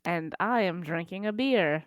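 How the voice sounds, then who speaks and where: A woman co-hosting a casual podcast in a low-key, self-deprecating manner. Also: expressive, measured pace. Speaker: podcast co-host.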